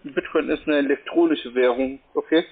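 A man who is not a native German speaker, making a halting telephone sales pitch and often checking in with a short question. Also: steady tone, a little hiss or hum. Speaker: cold-calling telephone salesman. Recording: tinny narrow-band phone line.

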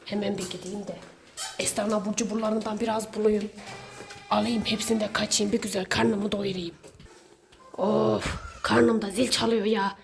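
A woman playing a sneaky, hungry neighbor villain in a children's pretend-play skit, muttering theatrically to themselves with drawn-out complaints. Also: medium pitch, little pitch movement, gravelly, close to an everyday voice. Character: sneaky, hungry Hello Neighbor villain, seeker and snack thief. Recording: loud music over the voice.

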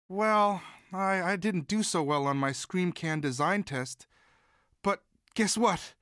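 A man's voice: high voice, fairly bright tone, no room sound, clean studio sound. A man voicing a timid, sweet, eager young student monster in a video game, boyish, polite, earnest and hesitant. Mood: sheepish, pleading. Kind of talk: video game character voice lines.

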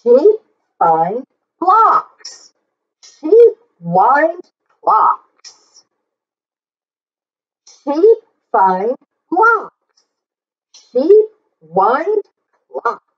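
A middle-aged woman reading aloud to young children in an animated, sing-song way, with encouraging asides. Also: high voice, very slow, long pauses, highs cut off. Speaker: children's story reader and teacher. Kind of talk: read-aloud for young children, repeating lines slowly. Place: small plain indoor room.

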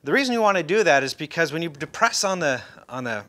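A man's voice: fairly high pitch, sing-song, quick pace, pushed and bright. A middle-aged man giving a casual, folksy how-to demonstration, talking loosely with fillers and restarts while seated indoors. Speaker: fishing instructor. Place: indoor room, seated in a chair.

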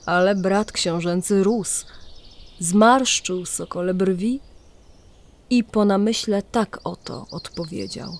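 Slow, solemn woman storyteller. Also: mid-range voice, a little hiss or hum. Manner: solemn, deliberate, dramatic storytelling. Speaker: narrator. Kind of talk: scripted storytelling narration of a legend in archaic language.

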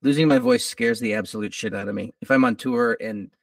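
Gravelly middle-aged man, lively pitch. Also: fairly quick, no echo, recorded from a distance. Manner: laid-back, lively, swears casually. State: a little groggy. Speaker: musician guest. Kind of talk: casual interview.

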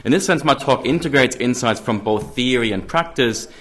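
A man's voice: medium pitch, expressive, a bit bright. German-accented man giving a formal lecture. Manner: measured, formal, with frequent ums. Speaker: keynote speaker. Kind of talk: academic lecture. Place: large university lecture hall. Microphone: podium microphone.

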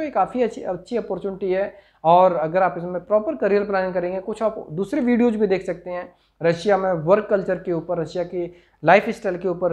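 A man's voice: sits high, a little mellow. An Indian man in his forties talks to the camera as a consultant presenting an opportunity. He explains things point by point, dropping in plenty of English terms. He is recorded on a clip-on mic in a small office. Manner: Hindi mixed with many English terms, explanatory. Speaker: overseas recruitment consultant. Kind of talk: informational promotional talk to camera. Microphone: clip-on lapel mic.

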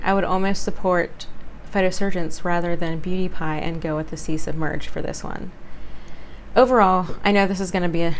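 A fairly low-pitched woman talks through a skincare review in a loose, rambling way. She trips over words and makes fun of herself, and her voice sounds worn and close to giving out. A faint hiss sits under the recording. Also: rough recording. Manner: rambling, self-deprecating, stumbles over words. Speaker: beauty vlogger. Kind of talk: casual product review chat.

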